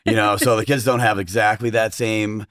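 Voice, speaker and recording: A middle-aged man with a Boston accent and a low voice talks fast, filling his sentences with "you know" and "uh" as an interview guest. The recording is dry and close. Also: level tone, a bit bright, dry recording. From Massachusetts, south of Boston. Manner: fast-talking, lots of fillers. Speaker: restaurant owner, guest. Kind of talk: casual interview chat.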